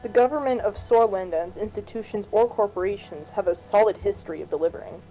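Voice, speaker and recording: A woman plays a cautious, insistent cabinet minister in a political game. The tone is dark and mellow at a middle pitch, with formal delivery and faint music behind. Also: fast talker, close to an everyday voice, low-quality recording. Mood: firm, cautioning. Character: firm, cautious female cabinet minister defending state planning. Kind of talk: fan voice-over reading of game dialogue.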